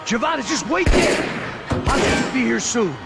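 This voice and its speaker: An American actor voicing a brooding, cynical middle-aged action hero in a noir video game, with a deadpan delivery. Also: male voice, very high voice, measured pace, gravelly, full character voice. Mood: urgent. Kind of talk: noir game narration and dialogue. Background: music.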